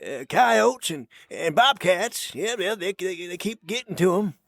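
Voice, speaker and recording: A man playing a jittery, secretive old gold prospector in a western audio drama, creaky and stammering, with a rural American accent. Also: high-pitched, lively intonation, a little slow, fairly bright tone, dry recording, clean studio sound, exaggerated voice. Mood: nervous, evasive. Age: older. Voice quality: creaky, cracked. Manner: stammering, nervous, repeats himself.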